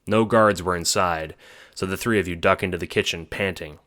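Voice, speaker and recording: A man's voice: low voice, a little fast, background noise. A man narrating a scripted audio drama in the second person as its storyteller.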